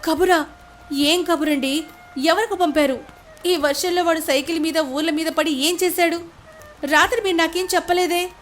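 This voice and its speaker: High-pitched, fretful village wife played by a woman. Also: steady tone, moderate pace, pauses often, soft background music. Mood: anxious, puzzled. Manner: plaintive, fretful, questioning. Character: anxious, tearful wife of poor food seller. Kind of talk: dramatized moral story voice acting.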